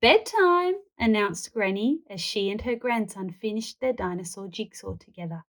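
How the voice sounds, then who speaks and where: A woman storyteller reading a children's picture book aloud in an animated narrating style. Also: medium pitch, normal speed.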